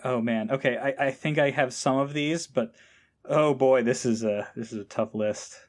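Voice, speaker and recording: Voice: mid-range voice, moderate pace. An easygoing podcast guest chatting casually in a hesitant, self-deprecating way. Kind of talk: casual chat.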